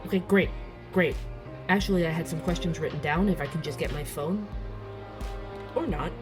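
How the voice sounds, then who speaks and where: A man acting a nervous, eager young barista and podcaster in an urban fantasy audio drama, halting and apologetic. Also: fairly high pitch, over music, close to an everyday voice. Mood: nervous excitement. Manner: halting, self-interrupting, awkward.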